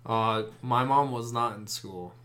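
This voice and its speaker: A man's voice: medium pitch, unhurried, sharp tone. A man from Maryland with a Maryland accent, chatting loosely as a podcast host, rambling with lots of fillers. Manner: laid-back, rambling with fillers. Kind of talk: casual chat.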